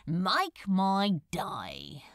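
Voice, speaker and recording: A woman's voice: lowish voice, wildly swooping pitch, extremely slow, dry recording, clean studio sound, full character voice. A woman voicing a sly, bitter male demon with a drawling, sardonic manner in a story reading.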